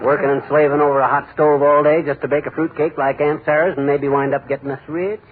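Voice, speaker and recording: An actor playing a brash, bragging, easily fooled husband in 1940s radio comedy style. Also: male voice, on the high side, level tone, fast talker, natural voice. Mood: boastful. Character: boastful, blustering middle-aged husband. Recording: scratchy narrow 1940s radio broadcast.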